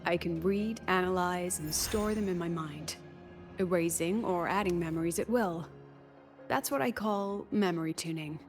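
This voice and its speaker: A woman playing a cool, eccentric female academy president in a video game, composed and deliberate, speaking in clipped statements. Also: on the low side, natural voice. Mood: composed, explanatory. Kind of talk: scripted video game voice acting. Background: game music under the voice.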